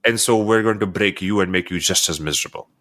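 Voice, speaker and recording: A man with a very low, deep voice talks quickly, pushing his points with emphasis. He grew up in Dubai and co-hosts a casual film podcast, often doubling words as he gets going. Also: fairly bright tone. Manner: emphatic, quick, repeats words when starting. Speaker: podcast co-host.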